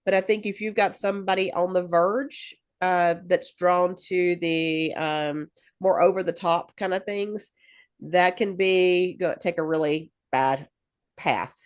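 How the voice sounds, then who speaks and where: A woman's voice: fairly low pitch, fairly even intonation, unhurried. A woman co-hosting a podcast in casual chat, rambling with lots of fillers and restarts and laughing easily. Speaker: podcast co-host.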